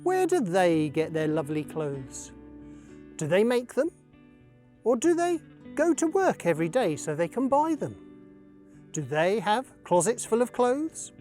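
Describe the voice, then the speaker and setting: Female children's storyteller: very slow delivery, lively melodic range, light music bed. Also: on the low side. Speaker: story reader.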